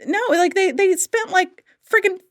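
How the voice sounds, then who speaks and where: A woman chatting casually as a podcast guest, telling animated, digressive stories in an effusive, theatrical manner. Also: very high-pitched, a little slow, no echo, clean studio sound. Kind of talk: casual chat, animated storytelling.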